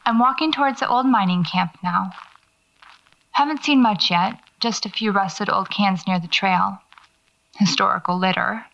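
A woman's voice: medium pitch, moderate pace, creaky, a bit bright. A woman acting in an audio drama as a wry horror novelist who records understated, musing field notes to herself while out alone at night. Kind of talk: naturalistic audio fiction monologue, spoken field notes. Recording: dull lo-fi cassette-style tape.

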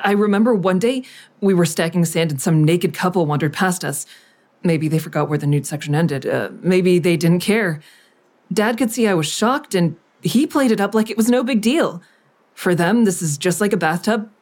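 An actress playing a plain-spoken, wounded grown daughter in naturalistic audio drama dialogue. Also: female voice, fairly low pitch, darkish tone, lightly acted. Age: adult.

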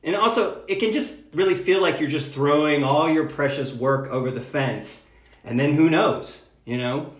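A man's voice: fairly high pitch, normal speed. A man answering as a podcast guest in a casual, wry, informal way. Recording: roomy, echoing sound.

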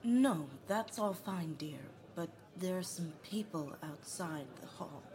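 Mother, middle-aged: affectionate, teasing, lower register, animated delivery, music underneath. Audio drama. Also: slow. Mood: matter-of-fact. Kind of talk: naturalistic scripted audio drama.

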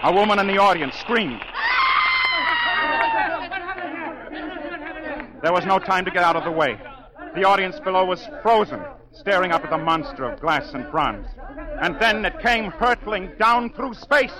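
An actor playing an anxious opera singer, narrating earnestly and theatrically in 1940s radio drama style. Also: male voice, high-pitched, animated, moderate pace, a crowd in the background, low-quality recording. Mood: mounting dread. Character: anxious male opera singer recounting a disaster. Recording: 1940s radio broadcast, music behind.

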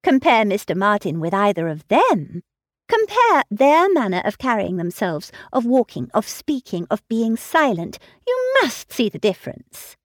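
Woman playing a condescending young lady in an audiobook, crisp and lively, dry close sound. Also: medium pitch, unhurried, no room sound. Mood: lecturing. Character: self-assured, snobbish young gentlewoman, lecturing.

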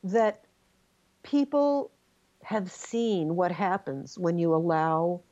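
A woman's voice: on the low side, lively intonation, slow, mellow, dry recording. Earnest woman, repeats herself while thinking, dry and slightly dull audio. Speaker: guest. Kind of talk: casual political discussion.

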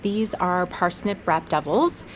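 An actress playing a deferential, diligent catering supervisor in a naturalistic audio drama. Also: female voice, fairly low pitch, lively intonation, takes it slowly, a little hiss or hum. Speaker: catering staff leader.

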